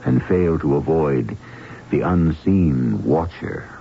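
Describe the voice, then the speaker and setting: A man with an American accent narrating a 1970s radio mystery as its ominous, theatrical host, phrasing deliberately. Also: extremely low pitch, animated, creaky, heavy background noise, full character voice. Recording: muffled phone-like old radio, music underneath. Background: music.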